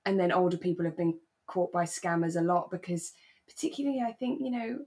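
A British woman co-hosting a podcast, chatting in a relaxed conversational way about a radio drama. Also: lowish voice, fairly quick. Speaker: podcast co-host.

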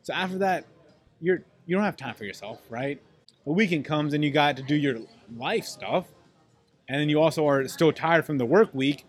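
Guest, man, halting but animated talker with a slightly raised pitch. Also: soft background music. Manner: halting, repeats words, animated. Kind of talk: casual chat.

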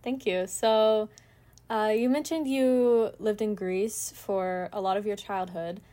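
A woman's voice: medium pitch, fairly even intonation, slow pace, dark tone. Young American woman hosting a student podcast. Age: young adult. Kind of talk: prepared interview questions.

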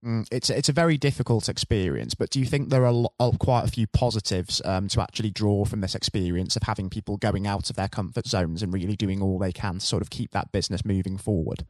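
A man's voice: on the low side, quick pace, few pauses, darkish tone, no room sound, clean studio sound. A man hosting a podcast, who gives a scripted introduction in a polished, formal presenter manner and then asks interview questions. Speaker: podcast host.

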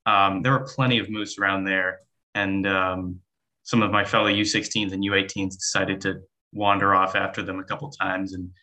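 A man's voice: low voice, little pitch movement, normal speed, bright, forceful tone, poor audio. A young man co-hosting a podcast, chatting casually in short, easygoing replies. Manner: short replies, easy laughter. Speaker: podcast co-host.

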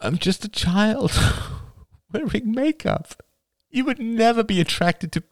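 A man's voice: a little high, big pitch swings, unhurried, voice pushed up. A man voicing a frightened, flippant young woman in an audiobook, breathless, shaky and blurting out words. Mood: desperate confessing. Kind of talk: audiobook character dialogue.

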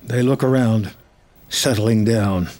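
A man reading scripted screenplay narration in the present tense, in a measured storytelling manner. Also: on the low side, a little mellow.

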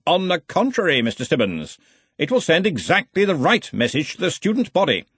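A man's voice: on the high side, huge pitch swings, a little fast, fairly bright tone, husky, no echo, pitched above the performer's own voice, heavily acted. A man voicing a brash, cheerful, domineering wizard arch-chancellor in a storybook, blustery and commanding. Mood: cheerfully forceful.

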